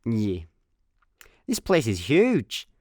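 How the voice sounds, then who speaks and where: Male actor as chatty Scottish sci-fi comedy slacker, bumbling, animated pitch, exasperated. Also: extremely slow, exaggerated voice. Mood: clueless, puzzled. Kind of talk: comedic sci-fi radio play.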